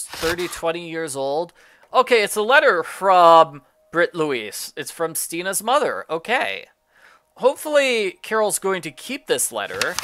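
A man's voice: on the high side, sharp tone. A man giving casual, jokey game walkthrough commentary, musing aloud as he plays.

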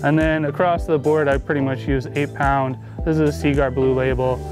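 A man's voice: mid-range voice, flat delivery, normal speed. A man in his thirties giving a casual, enthusiastic gear walkthrough to camera like a shop staffer, chatty and informal. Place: indoors in a small tackle shop. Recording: music behind the voice. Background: music, guitar.

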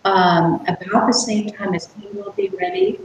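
A middle-aged woman speaks haltingly, restarting her thoughts as she explains things on a video call. Her voice bounces around a very echoey room, with faint room hum behind her. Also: medium pitch, big pitch swings. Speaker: author. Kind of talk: casual interview on a video call. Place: room indoors.

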